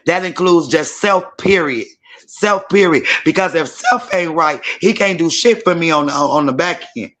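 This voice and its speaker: A hoarse woman, giving frank, emphatic opinions in a casual group debate. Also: lowish voice, expressive, normal speed, a bit bright. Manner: frank, emphatic, says "period". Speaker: panelist.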